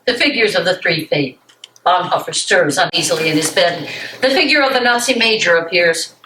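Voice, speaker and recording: A woman's voice: fairly low pitch, animated, brisk, pushed and bright, recorded from a distance. A woman reading stage directions as narrator, in scripted narration recorded in an echoey room. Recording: echoey room with faint noise.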